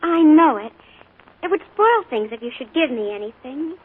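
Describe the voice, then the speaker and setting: A woman's voice: high voice, sing-song, normal speed, narrow, tinny audio. An actress playing an earnest, dreamy teenage girl in a 1940s radio play, eager and breathless. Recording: scratchy 1940s radio broadcast.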